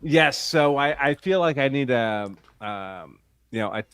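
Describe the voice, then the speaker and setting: A man speaking as a trial commentator on a casual live panel, carefully explaining his opinions with animated emphasis when making a point. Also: mid-range voice, unhurried, a crowd in the background.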